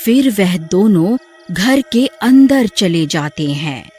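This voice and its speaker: A woman's voice: mid-range voice, animated, slow, darkish tone, husky, faint music behind. A woman narrating a scripted horror story.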